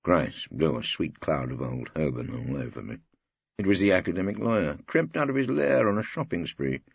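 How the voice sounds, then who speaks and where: Irreverent older English male barrister, man acting, droll audiobook narration, raspy, close dry slightly dull recording. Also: extremely low pitch, lively intonation, normal speed, no echo, exaggerated voice. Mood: dry, innocent. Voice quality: gravelly. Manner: wry, droll. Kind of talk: first-person audiobook narration with dialogue.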